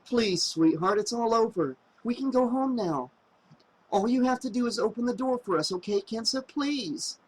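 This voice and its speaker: A man voicing a woman crewmate who coaxes and pleads gently, then turns stern. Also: very high voice, moderate pace, pitched above the performer's own voice, rough recording. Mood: coaxing. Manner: soft coaxing, pleading.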